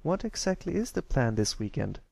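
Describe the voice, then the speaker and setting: A man's voice: medium pitch, sing-song, normal speed, vocal fry, darkish tone, pitched above the performer's own voice. A man voicing a timid, reluctant, rather sad young colt pony who speaks hesitantly and stammers, in a cartoon fan story.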